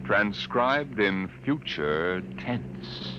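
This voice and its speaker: A man's voice: fairly low pitch, expressive, drawn-out words, very noisy. A man narrating as a 1950s radio announcer in a dramatic, portentous scripted style. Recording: dull vintage radio recording.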